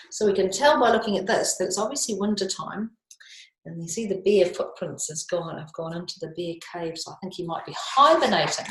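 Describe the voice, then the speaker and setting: A woman with a New Zealand accent reading picture books aloud to young children, in an expressive sing-song way with chatty asides. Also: on the low side, slight background noise. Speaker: storytime reader for young children. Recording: echoey room.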